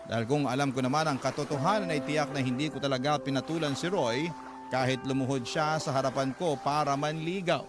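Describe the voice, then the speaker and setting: A man's voice: medium pitch, fairly quick, close to an everyday voice. A man narrating, in the first person, the letter of a young mother betrayed by a married lover. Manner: confessional, earnest. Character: heartbroken young mother recounting her story. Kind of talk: radio drama letter narration. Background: music.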